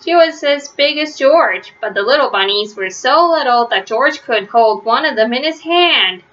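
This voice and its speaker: A woman with a bright, fairly high voice reads a children's storybook aloud. She phrases each sentence simply and deliberately, leaning on key words for young listeners. The sound is slightly dull and lo-fi, like a home recording. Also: lively intonation. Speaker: storybook reader. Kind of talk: children's read-aloud narration.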